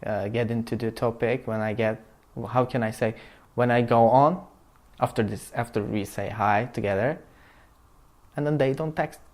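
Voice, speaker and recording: A man's voice: medium pitch, fairly even intonation, moderate pace, a little mellow. A twenty-four-year-old man from Turkey, hesitant and searching for words in a documentary interview.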